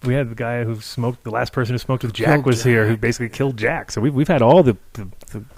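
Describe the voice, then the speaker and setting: A man's voice: mid-range voice, steady tone, quick pace, a little hiss or hum. A man hosting a casual talk show, laid-back and joking, prompting his guest with questions. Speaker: host. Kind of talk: casual radio chat.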